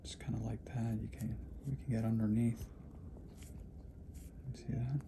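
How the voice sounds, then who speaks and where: A calm, focused podiatrist from Puerto Rico, quietly explaining as he treats a patient in his clinic. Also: fairly low pitch, normal speed, creaky. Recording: noisy clinic room.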